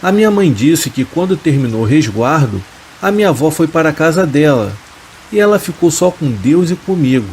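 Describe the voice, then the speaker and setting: A man with a somewhat high voice narrates a first-person horror story, reading slowly and calmly. His delivery stays measured and even, with a soft hiss of rain running behind him. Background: rain sounds.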